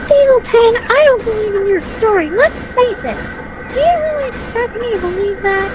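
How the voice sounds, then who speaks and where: A woman's voice: extremely high pitch, lively intonation, normal speed, low-quality recording. A woman voicing a female steam engine in a children's story, indignant and snappy, nervous but defiant. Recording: music behind the voice.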